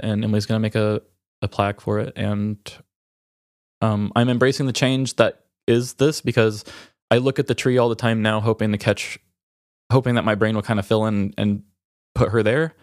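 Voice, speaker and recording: Male podcast host, low register, raw and reflective, halting delivery through grief, dry recording. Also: dry recording. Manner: halting, reflective, emotional, with pauses. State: grieving. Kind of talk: raw, candid personal conversation.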